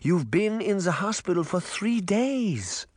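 A man voicing a kindly old grandmother in an audiobook, speaking gently with a light German accent. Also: high-pitched, very animated, unhurried, no echo, clean studio sound, voice pushed up, exaggerated voice. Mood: gentle, sorrowful. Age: older. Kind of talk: audiobook character voice.

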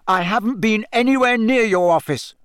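A man's voice: high-pitched, moderate pace, smooth, dry recording, studio quality, voice pushed up, natural voice. A man voicing a defiant, angry teenage schoolboy in an audiobook, curt, defensive and coolly insolent. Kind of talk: audiobook character voice.

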